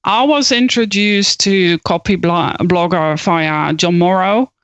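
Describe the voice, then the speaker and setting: A woman's voice: lowish voice, slow pace, no room sound, dull audio. A woman from the Netherlands with a Dutch accent, a guest on a podcast, speaking thoughtfully with frequent hesitations. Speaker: guest, copywriter and coach. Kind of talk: podcast interview.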